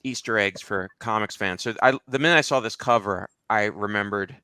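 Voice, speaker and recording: A man chatting casually and jokingly as a show regular, in a halting, meandering way. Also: on the low side, sharp tone, smooth, dry recording. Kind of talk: casual comedic chat.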